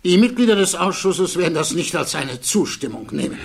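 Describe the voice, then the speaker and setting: A courteous male defense lawyer in a radio drama. His voice is rough-edged and a little high for a man, with lively intonation. He questions formally and methodically. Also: a little fast, exaggerated voice. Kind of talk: radio play dialogue.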